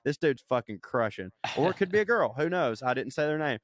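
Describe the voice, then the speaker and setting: A man's voice: medium pitch, big pitch swings, brisk, no echo, clean studio sound. A man co-hosting a podcast, reading a listener email aloud with profane, laughing asides. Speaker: podcast co-host.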